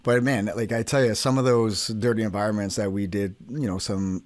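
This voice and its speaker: A man's voice: lowish voice, level tone, a little fast, darkish tone, dry recording. A man hosting an interview in an informal, plain-spoken way. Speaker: podcast host, military veteran. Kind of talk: casual interview chat.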